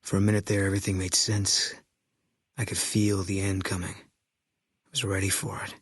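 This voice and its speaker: An actor playing a terse, stoic ex-military sniper in a video game, grim and fatalistic, with a low husky voice. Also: male voice, little pitch movement, normal speed, no echo, clean studio sound. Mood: haunted, resigned. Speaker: video game companion character.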